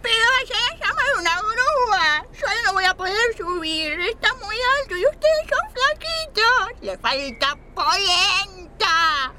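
A woman's voice: very high-pitched, lively intonation, slow pace, few pauses, very noisy, heavily acted. An actress playing a complaining, dramatic old grandmother in a comedy, with a Rioplatense Argentine accent. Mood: whining. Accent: Rioplatense Argentine Spanish. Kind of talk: broad comic family sketch acting.

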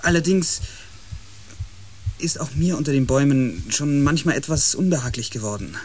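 A man's voice: medium pitch, moderate pace, darkish tone, a little hiss or hum, close to an everyday voice. A man playing an uneasy expedition member in a radio play, speaking in a hesitant, brooding way. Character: uneasy male expedition member voicing a vague foreboding.

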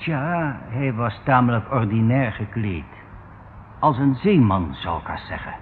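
An actor in a radio drama playing a formal, dutiful doorman who speaks in a stiff, deferential, measured way. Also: medium pitch, expressive, natural voice. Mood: formal, discreet. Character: formal, dutiful doorman of a London residential building. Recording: tinny, noisy old radio recording.

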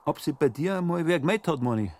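A man's voice: fairly high pitch, lively intonation, fast, darkish tone. An actor playing an uneasy village man with a Bavarian accent, hesitant yet insistent, in a radio crime drama. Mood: cautious, probing. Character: probing, uneasy village man cautiously questioning an acquaintance. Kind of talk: German radio crime drama acting in dialect.

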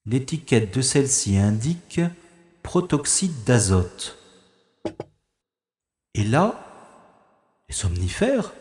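A man's voice: mid-range voice, a little mellow. An actor playing a wry male secret agent who narrates his own sneaking through a manor in a sci-fi audio drama. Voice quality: dry, ironic inner monologue. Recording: echoey room with long reverb.

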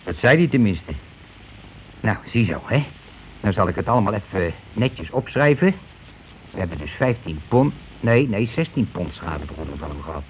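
Casting: scheming, bossy husband, streetwise and glib, in a radio play; noisy old recording. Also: male voice, fairly low pitch, sing-song, normal speed. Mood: glib, persuasive. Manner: glib, colloquial, self-justifying. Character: scheming, bossy working-class husband, glib petty chancer. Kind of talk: Dutch radio play acting.

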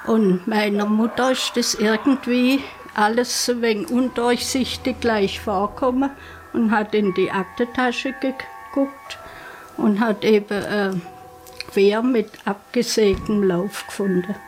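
A woman's voice: a little high, steady tone, unhurried. An older woman with a southern German accent recollecting spontaneously in an interview. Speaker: interviewed witness. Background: music.

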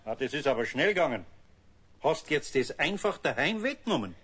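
Older Bavarian craftsman, gruff but good-hearted, highish forceful voice, grumbling, children's radio play. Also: very animated, a little fast, faint music behind, full character voice. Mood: kindly. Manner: grumbling, scolding yet kindly.

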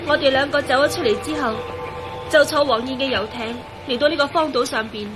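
A woman's voice: sits high, a little fast, music in the background, lightly acted. An actress playing an anxious, devoted young woman in a Cantonese radio drama. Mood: explaining. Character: anxious, devoted young woman turned transparent, quick to reason.

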